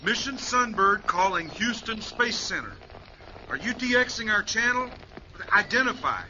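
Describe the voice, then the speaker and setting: A man's voice: high voice, expressive, measured pace, bright, forceful tone, highs cut off, slight background noise, heavily acted. A man playing a stern astronaut major on the radio. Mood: commanding. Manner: clipped radio protocol, authoritative. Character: stern male astronaut major commanding a spacecraft. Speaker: astronaut commander. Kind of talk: radio drama acting.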